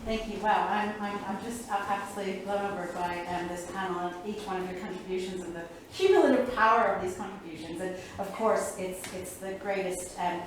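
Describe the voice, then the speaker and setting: A woman's voice: mid-range voice, a little fast, few pauses. A woman chairing a panel event, speaking informally and effusively, often hesitating and interrupting herself. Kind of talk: informal spoken thanks and event announcements. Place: indoor event room. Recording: very echoey room with faint noise.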